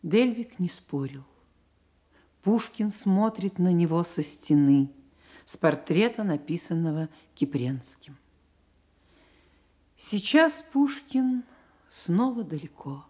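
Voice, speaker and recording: Woman narrator, lowish mellow voice, measured literary delivery, radio drama. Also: expressive, husky.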